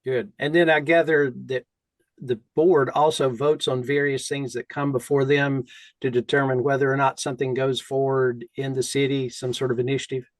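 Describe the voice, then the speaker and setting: A man with a medium-pitched voice, recorded dry with little room sound. He interviews conversationally, adding reflective, explanatory comments. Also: level tone, moderate pace, no room sound, poor audio. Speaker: interviewer, co-host.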